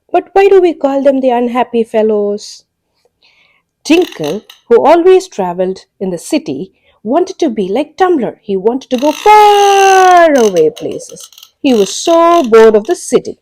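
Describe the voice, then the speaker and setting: A woman tells a children's story with a high voice that leaps up and down. She takes her time and lets the words stretch out. She keeps it playful, breaks into zooming and revving car noises and asks her young listeners questions along the way. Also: dark tone. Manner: playful, makes vehicle sound effects. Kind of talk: animated storytelling for kids.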